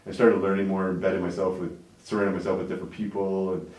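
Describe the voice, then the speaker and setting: A man's voice: deep voice, little pitch movement, quick pace, a little mellow, roomy sound, distant mic, poor audio. A man in his forties from Canada, talking casually as an interview guest in a rambling way, often tagging on "right?" and "like". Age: 40s. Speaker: interview guest, business owner.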